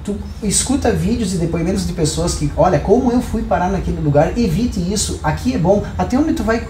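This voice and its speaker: Higher-voiced southern Brazilian man, mellow. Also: lively intonation, a little fast. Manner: explanatory, uses examples and rhetorical questions. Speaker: podcast guest, hair professional. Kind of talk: conversational podcast discussion. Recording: roomy echo, clear background noise.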